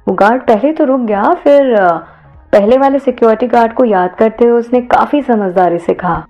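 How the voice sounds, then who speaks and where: A woman's voice: fairly high pitch, normal speed, lo-fi sound, faint music behind. A woman reading scripted dramatic story narration as the narrator.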